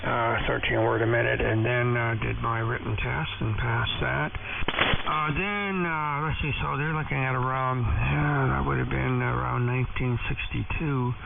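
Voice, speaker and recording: A man's voice: medium pitch, fairly even intonation, moderate pace, vocal fry, heavy background noise. An American man in his seventies reminiscing over amateur radio in a rambling, hesitant way, heard through a muffled AM transmission. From San Francisco Bay Area, USA. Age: 70s. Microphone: two-way radio microphone.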